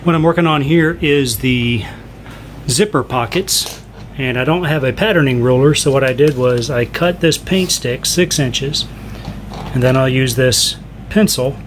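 A man's voice: medium pitch, a little hiss or hum. Plainspoken middle-aged man narrating handiwork. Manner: plainspoken, frank, talks while doing hands-on work. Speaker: sailing channel host. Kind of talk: casual how-to narration while working. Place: indoors in a home, kitchen and living room.

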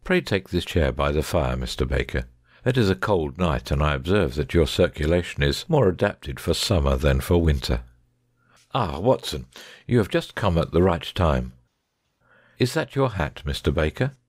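A man playing a shrewd, composed gentleman detective in a dramatic audiobook reading, precise and measured, with quiet authority. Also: extremely low pitch, animated, no room sound, studio quality. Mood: composed, genial.

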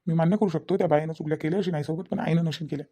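A woman's voice: low-pitched, fairly even intonation, rapid-fire, dark tone, breathy edge, lo-fi sound. A woman voicing a young husband in a cartoon family drama, firm and disbelieving. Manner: firm, repeats denials. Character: mother-defending young husband, insistent and disbelieving. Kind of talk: Marathi cartoon family comedy-drama acting.

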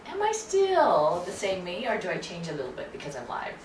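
Woman, casual chatter, slightly low voice, echoey kitchen, audible background noise. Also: huge pitch swings, a little fast, darkish tone, distant mic. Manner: chatty, laughing, while chopping vegetables. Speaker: cooking show co-host. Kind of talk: casual live cooking chat. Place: home kitchen, indoors. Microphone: distant camera mic. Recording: roomy kitchen sound from afar with noise.

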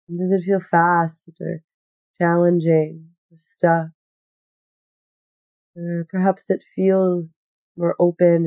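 Slow, mellow woman guiding meditation. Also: lowish voice, no room sound. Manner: gentle, unhurried, soothing instruction with long pauses. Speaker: yoga nidra meditation guide. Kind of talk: guided meditation.

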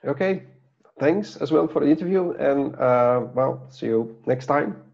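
Gravelly middle-aged Dutch-speaking man. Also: mid-range voice, expressive, a little slow, a little mellow. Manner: rambling, many restarts and fillers, chuckles. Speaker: author and guest. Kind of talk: casual interview over video call. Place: office with branded backdrop. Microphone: lapel mic on video call. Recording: dull lo-fi video call audio.

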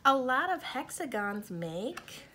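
A woman reads a picture book to young children at a very slow pace, leaving pauses before key words. Her voice sits a little high. There is some background noise behind her. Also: very animated, pushed and bright. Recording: clear background noise, home recording.

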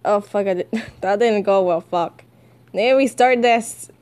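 A woman's voice: mid-range voice, measured pace, a little mellow, clean tone. A woman dubbing comics as an amateur, chatting casually, swearing and giggling at herself. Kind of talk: casual fan comic dub with chatty asides.

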